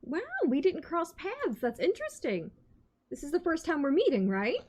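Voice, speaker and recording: A woman acts out a soothing, gently patronizing vampire woman in a tabletop roleplay. Her high voice swoops expressively as she coaxes and prompts. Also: wildly swooping pitch, moderate pace. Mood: curious. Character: coaxing, motherly vampire woman, gently patronizing New Yorker.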